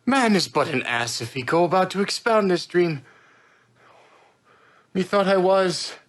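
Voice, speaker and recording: A man acting a bewildered, vain simple craftsman in a Shakespearean stage monologue, halting in broken phrases of wonder. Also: high-pitched, lively intonation. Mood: dazed wonder.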